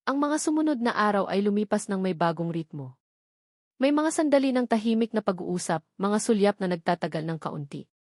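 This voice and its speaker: A woman's voice: mid-range voice, animated, fairly quick, darkish tone, no room sound. Woman narrating a dramatic story, dry close sound. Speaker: audiobook narrator.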